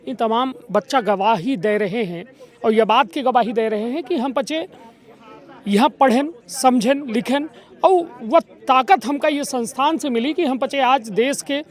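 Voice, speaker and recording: A male reporter with a Bagheli accent, interviewing people on the spot and commenting with emphasis, slipping dialect words into standard speech. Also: very high-pitched. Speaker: news reporter.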